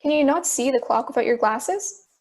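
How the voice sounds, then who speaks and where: A young woman acting a calm, persistent juror in an audio drama. The voice is high, with a deliberate, questioning delivery that reasons step by step. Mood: probing.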